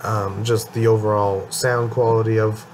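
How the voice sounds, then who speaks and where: A man's voice: lowish voice, little pitch movement, moderate pace, a little mellow, poor audio. Young male vlogger, voice worn from a cold, rambling delivery, faint hiss behind. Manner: rambling, many ums and restarts. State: getting over a cold, voice a bit worn. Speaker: music channel host. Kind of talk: casual album ranking talk.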